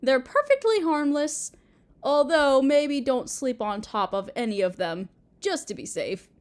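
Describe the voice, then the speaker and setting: A woman playing a doting, possessive Mother Earth goddess in a roleplay audio drama, sweet and cooing as if to a small child, with gentle menace. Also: high voice, animated, unhurried. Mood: delighted doting.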